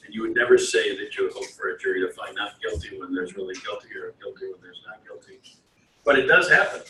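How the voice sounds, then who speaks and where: A man's voice: sits low, animated, fast, pushed and bright. Emphatic man teaching in an echoey room. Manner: emphatic, repeats himself for stress. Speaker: law instructor. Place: lecture classroom. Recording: echoey room, distant mic, faint noise.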